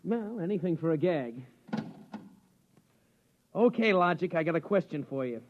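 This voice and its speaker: Guy doing folksy henpecked worker, old radio show, voice bit high, tinny audio. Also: lively intonation, normal speed, soft background music, lightly acted. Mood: puzzled. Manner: casual, slangy, folksy. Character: henpecked, slangy working-stiff card player. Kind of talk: old-time radio drama acting.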